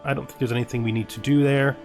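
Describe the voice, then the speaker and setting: A man hosts a casual strategy game playthrough in a medium-pitched voice. He thinks aloud through his options, often checking himself with a short questioning tag. Music plays behind him. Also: steady tone, quick pace, gravelly. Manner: talks through decisions to himself, often asks "right?". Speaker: gaming video host playing a strategy game. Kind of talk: casual let's-play commentary, thinking aloud.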